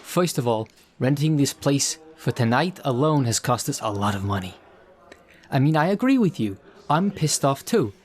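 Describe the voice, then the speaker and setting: A man acting out a pragmatic film director in an audio drama. He talks briskly in a medium register, placating and a little dismissive, like someone weary of budget limits. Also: mid-range voice, big pitch swings, close to an everyday voice. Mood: weary, firm.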